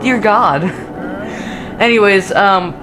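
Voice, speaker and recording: An excitable reaction host talking casually and jumpily, with frequent exclamations and repeated phrases. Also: medium pitch, extremely slow, noisy background.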